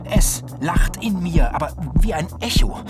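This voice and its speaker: A man's voice: a little high, animated, a little slow. A male actor playing a manic, paranoid writer in psychotic breakdown, narrating a literary radio-play monologue with feverish intensity. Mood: dazed, ecstatic. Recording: loud music under the voice. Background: prominent music.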